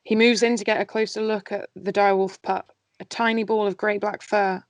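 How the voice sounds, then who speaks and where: A woman's voice: mid-range voice, level tone, fairly quick, no room sound. Woman narrating, dry close sound. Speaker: narrator reading stage directions. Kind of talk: scripted narration in an amateur table read.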